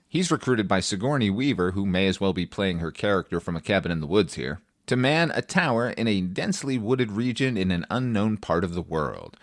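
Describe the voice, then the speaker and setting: A man's voice: deep voice, dry recording, studio quality. A man hosting a film review podcast, speaking in a wry, opinionated, sweary monologue. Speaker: podcast host, film critic.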